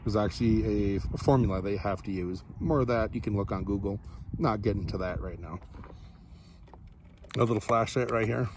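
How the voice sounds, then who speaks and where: A man's voice: fairly low pitch, normal speed, background noise. A man giving a casual, unscripted product review to viewers in a laid-back, rambling, folksy way.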